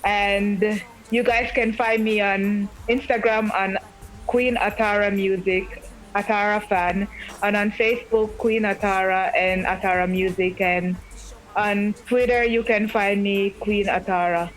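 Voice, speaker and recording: A woman's voice: mid-range voice, steady tone, unhurried, faint music behind, rough recording. A woman singer as a guest on a radio interview, chatting casually with hesitant restarts. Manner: chatty, hesitant restarts, giving shout-outs. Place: remote line from home, Austria.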